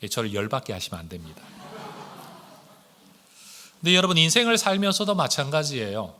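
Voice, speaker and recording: Male pastor, fairly high bright voice, earnest sermon delivery, talks straight to the congregation. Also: sing-song, brisk, a little hiss or hum. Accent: standard Korean, with regional intonation slipping in when speaking fast. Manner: earnest, addresses the congregation directly with rhetorical questions. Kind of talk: Sunday morning sermon.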